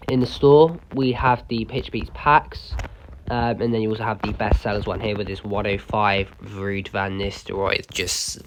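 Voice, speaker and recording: Croaky man chatting through a game. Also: medium pitch, background noise. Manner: chatty, many ums, addresses viewers as guys. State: unwell, slightly croaky. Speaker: gaming YouTuber. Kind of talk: casual game walkthrough narration.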